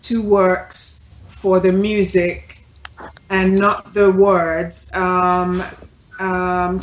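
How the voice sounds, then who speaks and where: A woman co-hosting a radio talk show, reading out a listener question slowly with many fillers. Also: medium pitch, reverberant, a little hiss or hum, poor audio. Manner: slow and careful with many fillers. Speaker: co-host.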